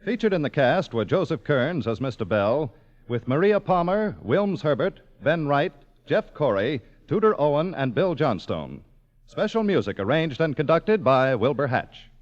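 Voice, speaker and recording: A man's voice: a little high, big pitch swings, normal speed. A man reading scripted announcements in formal, declarative 1940s network radio style. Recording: muffled 1940s radio recording, music behind.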